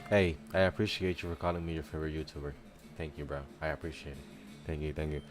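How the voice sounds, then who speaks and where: A male streamer from the Dominican Republic talks in a relaxed, slangy way, often thanking his viewers. His voice sits low. Soft music plays quietly behind him. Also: fast talker. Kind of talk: casual live chat reacting to a video.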